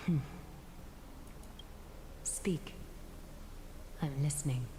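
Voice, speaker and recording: A woman playing a composed, solemn noblewoman in a video game, measured and guarded, in an echoey space. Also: low-pitched, background noise. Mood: cool, pensive. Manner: measured, reserved. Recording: echoey, reverberant sound. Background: background music.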